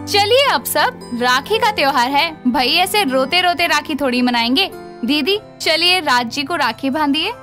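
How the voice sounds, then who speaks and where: A sweet, conciliatory young daughter-in-law from a cartoon, played by a woman. The voice is very high and bright, politely placating, over faint music. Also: animated, a little slow, heavily acted. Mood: welcoming.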